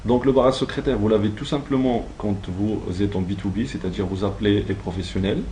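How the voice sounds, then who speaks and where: A man's voice: lowish voice, steady tone, fairly quick, warm tone, slight background noise. A man giving an instructional training talk, explaining step by step in a didactic, semi-improvised way. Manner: didactic, step-by-step, checks understanding. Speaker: trainer teaching call-centre phone technique.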